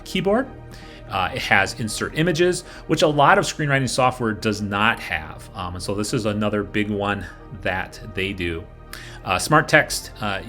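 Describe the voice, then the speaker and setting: A man presenting a casual software review, explaining things informally and speaking straight to the viewer. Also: mid-range voice. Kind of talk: casual product walkthrough over screen capture. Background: background music.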